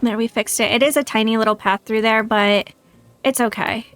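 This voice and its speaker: A woman's voice: a little high, steady tone, fairly quick, fairly bright tone, clear, resonant tone, faint music behind. A woman game streamer chatting casually and thinking aloud in a tentative, musing way as she plays. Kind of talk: casual live gaming chat, thinking aloud. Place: indoors, livestream.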